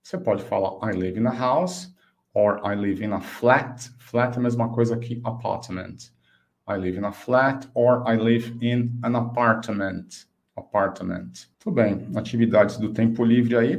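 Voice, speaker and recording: A man in his fifties with a Brazilian accent, a native Portuguese speaker, teaching an online English lesson and slowly enunciating example phrases. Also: mid-range voice, a little mellow. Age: 50s. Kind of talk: online language lesson, explaining and modelling phrases.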